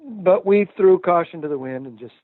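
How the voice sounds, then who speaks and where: A man's voice: sits high, animated, normal speed, no echo. An older male musician answering interview questions in a reflective, chatty way with frequent laughs and fillers. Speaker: musician guest. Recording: tinny phone line.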